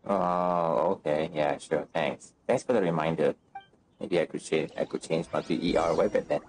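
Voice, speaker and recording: A man's voice: rumbling low, slow pace, darkish tone, slight background noise, rough recording. A man in his thirties giving casual, laid-back gameplay commentary as a streamer, muttering short asides. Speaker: game streamer. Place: indoor room at home, on webcam.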